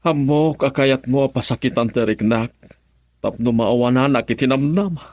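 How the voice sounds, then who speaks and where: An actor with a Filipino accent playing a gentle, longing father coaxing his daughter in a radio drama. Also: mid-range voice, moderate pace, no echo, close to an everyday voice. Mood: tender, pleading.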